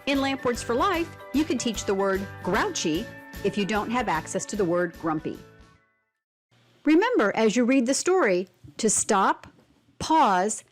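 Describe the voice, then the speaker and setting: A woman giving instructional narration and reading a picture book for children, expressive and deliberate, with prompting questions. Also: a little high. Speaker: read-aloud instructor.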